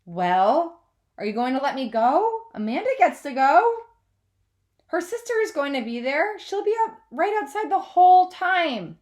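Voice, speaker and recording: A woman's voice: sits high, sing-song, unhurried, darkish tone, voice pushed up. A woman voicing an eager, exasperated schoolgirl who pleads insistently, in a children's storybook read-aloud. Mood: pleading.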